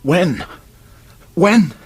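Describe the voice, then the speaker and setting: An actor playing a remorseful, middle-aged English office man confessing to murder, halting and stammering, in a radio drama. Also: high-pitched, big pitch swings, darkish tone, husky, exaggerated voice. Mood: desperate pleading. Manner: halting, stammering, old-fashioned public-school phrasing.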